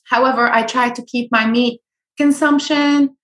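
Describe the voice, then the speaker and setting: A young woman from Syria speaks in a high, lifted voice. She gives earnest, practical advice as an interview guest, with frequent ums and small restarts. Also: steady tone, measured pace. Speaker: guest, food blogger. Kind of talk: conversational interview.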